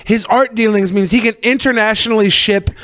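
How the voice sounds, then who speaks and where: A man's voice: high-pitched, fairly even intonation, fairly quick, a bit bright, no echo. A man co-hosting a podcast with casual, crude, irreverent joking commentary, often repeating his phrases. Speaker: podcast co-host.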